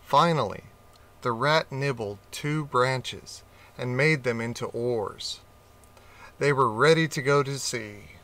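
A man reads a children's storybook aloud, slow and gentle, with lots of expression. His voice is bright and crisp, and his pitch sits in the middle range. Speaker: audiobook reader.

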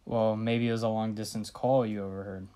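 A woman's voice: very deep voice, level tone, fairly quick, dark tone. A woman playing a bored, brusque police desk sergeant in an old-style radio thriller. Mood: placating. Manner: brusque, placating, weary.

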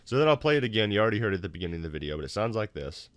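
A man hosting a software tutorial in an informal, self-deprecating, explanatory way, recorded in a home office. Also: on the low side, big pitch swings, rapid-fire, dry recording. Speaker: tutorial host.

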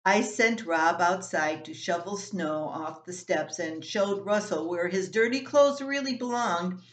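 A woman in her seventies reading her own personal story aloud in a plain-spoken way at home. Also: fairly low pitch. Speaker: columnist reading own work. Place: small room at home, indoors. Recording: roomy home echo.

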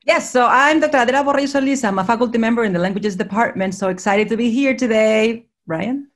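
A woman's voice: a little high, fast talker, soft background music. A woman co-hosting a livestream with upbeat, casual live commentary. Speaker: livestream co-host, language faculty member.

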